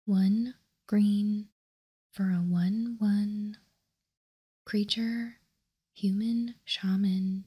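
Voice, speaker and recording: A woman whispering in a breathy, calming, measured way, as an ASMR host reading out and commenting on trading cards. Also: mid-range voice. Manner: soft, slow, measured, calming. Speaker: host of a card ASMR channel.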